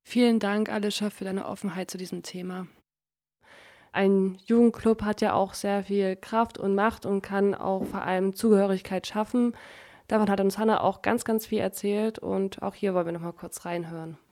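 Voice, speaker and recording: A woman hosts a podcast in a calm, scripted manner. Her pitch stays level and even, and she moves smoothly from one segment to the next. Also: moderate pace. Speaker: podcast host. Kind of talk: podcast moderation.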